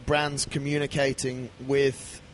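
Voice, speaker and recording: A man's voice: medium pitch, flat delivery, takes it slowly, a little hiss or hum, low-quality recording. A man hosting an interview, asking stumbling questions and giving constant encouraging agreement. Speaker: podcast host. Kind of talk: conversational interview.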